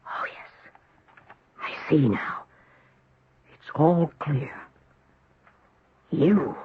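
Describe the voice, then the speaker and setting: An actor playing a tormented, deluded husband in an anguished, dramatic radio drama style. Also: male voice, a little high, expressive, very slow, whispered. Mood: grim, deranged. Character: tormented, deluded husband convinced of the devil's hand. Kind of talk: 1970s radio drama acting. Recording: dull, noisy old radio recording.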